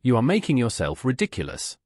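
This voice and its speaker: A man voicing a controlling older Regency gentleman in an audiobook, formal, condescending and commanding. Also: medium pitch, huge pitch swings, fairly quick, dry recording, clean studio sound.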